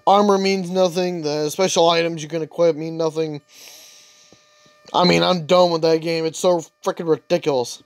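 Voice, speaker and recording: High-voiced young man grumbling over gameplay. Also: steady tone, normal speed, dry recording. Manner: grumbling, chatty, talks to himself while playing. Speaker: gaming channel host. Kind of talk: casual let's-play commentary.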